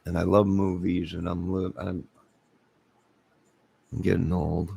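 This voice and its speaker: A middle-aged man, hoarse from singing, rambling casually to viewers on a late-night livestream and greeting them one by one. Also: deep voice, flat delivery, unhurried, dark tone. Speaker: livestream host.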